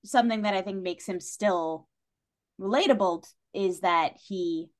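A woman's voice: mid-range voice, a little slow. Thoughtful woman in casual literary discussion. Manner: thoughtful and analytical, speaks in long tangents. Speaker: guest on a literary discussion podcast.

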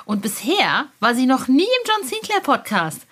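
A woman's voice: high voice, big pitch swings, moderate pace, fairly bright tone. A woman hosting a podcast in a chatty, informal and enthusiastic way, speaking directly to listeners. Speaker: podcast host.

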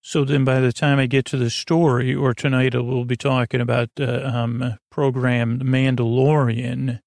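A man hosting a bedtime podcast in a halting, meandering monologue that keeps drifting into tangents, with a creaky, gravelly voice. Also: medium pitch, level tone, a little mellow, no room sound. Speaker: podcast host.

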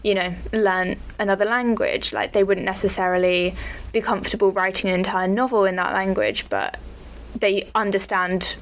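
A woman's voice: mid-range voice, lively intonation, moderate pace. A young woman hosts a casual podcast interview. She talks in a chatty, encouraging way, leaning often on "like". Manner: chatty and encouraging, many likes. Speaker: podcast host.

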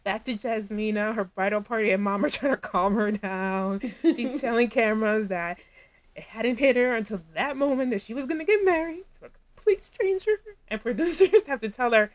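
Animated woman, high and laughing. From Dominican heritage. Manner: animated, talks with her hands, quick laughs, acts out quotes. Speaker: podcast co-host. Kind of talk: casual recap chat.

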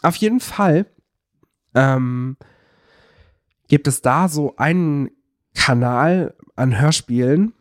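A man's voice: on the high side, takes it slowly, long pauses, no room sound, clean studio sound. A man co-hosting a podcast in casual chat, explaining things in a rambling, enthusiastic way. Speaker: podcast co-host.

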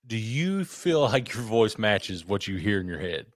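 Podcast co-host, male, low baritone, loose and profane, recorded dry. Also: big pitch swings, measured pace, dry recording. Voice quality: deep baritone voice. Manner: loose, joking, profane banter. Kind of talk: casual chat over beers.

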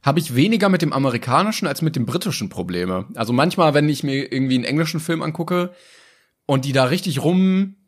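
A man's voice: a little high, animated, fast talker. A young man with a German accent talking casually as a podcast co-host, colloquial and reflective. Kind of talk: casual conversation.

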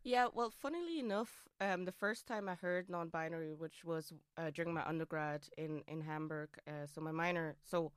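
A non-binary speaker with a feminine voice in their late twenties, from Austria with an Austrian German accent and German as a first language, talking through a thoughtful interview with many fillers and restarts. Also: female voice, low voice, normal speed, hardly any pauses, clear, resonant tone, dry recording, studio quality. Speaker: guest. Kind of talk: casual interview chat.

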